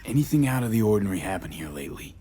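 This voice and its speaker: An actor playing a brooding, world-weary male street mercenary in a video game, with a gravelly, low-key, terse delivery. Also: very animated, racing, warm tone, a little hiss or hum. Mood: guarded, determined. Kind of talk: naturalistic video game acting.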